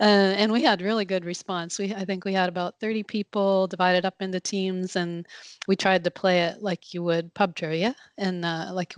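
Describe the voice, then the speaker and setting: A woman co-hosting a tech podcast in casual chat, relaxed and laughing often. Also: lowish voice, clear, resonant tone, no echo. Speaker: podcast co-host.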